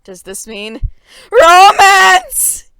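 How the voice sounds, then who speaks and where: A woman acts out a giddy teenage girl author reading a story of her own. She is breathless and melodramatic, jumping up into excited shrieks. The sound is close and dry. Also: extremely high pitch, very slow, creaky, no room sound, pitched above the performer's own voice, heavily acted. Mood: gushing. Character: gushing teenage girl fanfiction author, giddy and over-dramatic.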